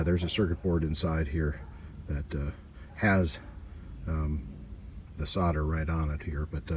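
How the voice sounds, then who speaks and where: A man giving a casual hands-on repair walkthrough in a halting, folksy, offhand way, recorded outdoors on amateur gear. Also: a bass voice, a little mellow, slight background noise. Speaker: host of a DIY radio repair channel. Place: outdoors beside a car.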